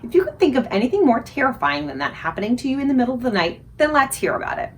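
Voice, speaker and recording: A woman reading a children's story aloud expressively, with dramatic, suspenseful emphasis and deliberate pauses. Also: a little high, sing-song, slight background noise. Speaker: librarian reading aloud for a book club.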